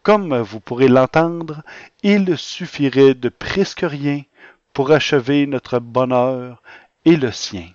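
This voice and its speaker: A male podcast host speaks at a slow pace and a mid pitch. His phrasing is earnest and rather florid, and the recording is very dry and slightly dull. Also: a little mellow, no echo. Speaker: podcast segment host and interviewer. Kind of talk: scripted podcast presentation and informal interview.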